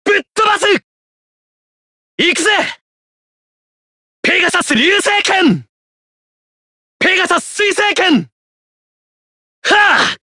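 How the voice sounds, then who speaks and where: A man's voice: very high voice, expressive, slow, long pauses, no room sound, clean studio sound, full character voice. A man voicing a hot-blooded, fiercely loyal teenage boy warrior in an anime battle game, brash and defiant. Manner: shouted attack calls, brash and defiant.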